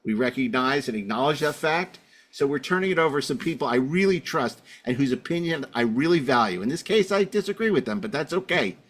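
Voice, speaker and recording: A man's voice: mid-range voice, expressive, a little fast, a bit bright. A middle-aged man with a mid-range voice hosts a casual podcast. He wanders through his introductions with wry asides and pokes fun at himself as he goes. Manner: rambling, wry, self-deprecating. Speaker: podcast host.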